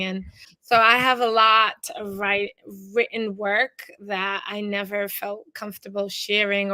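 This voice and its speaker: A young woman from Boston who grew up speaking Cape Verdean Creole first, chatting earnestly as a podcast guest. Her voice is bright and clear, and the sound is close and dry, with no room echo. She talks reflectively and fills pauses with "you know.". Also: mid-range voice. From Boston, USA. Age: young adult. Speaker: podcast guest, author and teacher. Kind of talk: casual interview chat.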